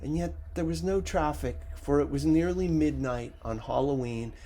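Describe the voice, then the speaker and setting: A man narrating a first-person story as an audiobook reader, with a measured, expressive dramatic delivery. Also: a little high.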